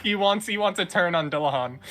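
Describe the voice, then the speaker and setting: A man with a slightly high, bright voice performs in an amateur group dub. He is jokey and very animated, slipping between lines and casual banter. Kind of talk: fan dub line reading mixed with casual banter.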